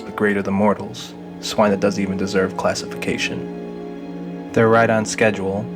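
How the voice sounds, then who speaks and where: A man reading scripted, dramatic narration for an audio drama. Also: on the low side. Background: music.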